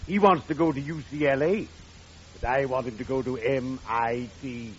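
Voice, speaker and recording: An actor playing a morbidly genial undertaker in an old radio comedy, solemn, formal and punning. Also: male voice, medium pitch, steady tone, lightly acted. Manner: formal, grandly deliberate, punning. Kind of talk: 1940s radio comedy acting. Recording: dull, noisy old radio recording.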